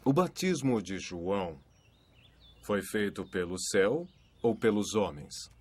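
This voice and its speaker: A man playing a calm, authoritative messiah who tells parables in a measured, solemn way in a dubbed Bible cartoon. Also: fairly low pitch, slight background noise. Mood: calm authority. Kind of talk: dubbed animated Bible drama, storytelling.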